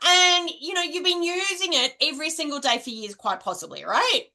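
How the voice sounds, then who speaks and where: Middle-aged Australian woman, high and bright, chatty coach who keeps checking in with "right?". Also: expressive, fairly quick. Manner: chatty, ends nearly every point with "right?". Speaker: direct selling coach. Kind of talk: casual coaching monologue to camera.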